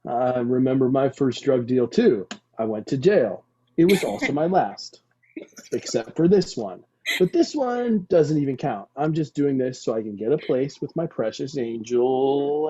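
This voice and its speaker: A nervous, naive young man on his first drug delivery, acted by a man, slow and earnest with a soft, dark, husky voice. He over-explains politely in a comedy table read with slightly dull audio. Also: a little high, full character voice.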